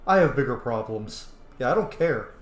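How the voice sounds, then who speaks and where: A man's voice: mid-range voice, expressive, normal speed. A man giving casual, profane, joking commentary while playing a video game. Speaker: gameplay commentator. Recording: roomy room with faint noise.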